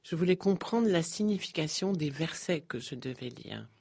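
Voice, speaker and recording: A woman giving a steady voice-over translation of a guest's answers. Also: sits low, normal speed, gravelly, breathy edge. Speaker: interpreter.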